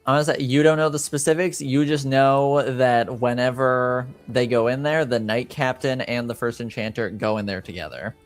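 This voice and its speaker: A man acting as game master, improvising narration and explaining rules in a halting, think-aloud way. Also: medium pitch, fairly even intonation, faint music behind.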